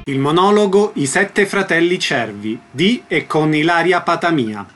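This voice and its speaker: A man's voice: fairly high pitch, expressive, measured pace, a bit bright, soft background music. A man, presenting and asking questions as a radio host.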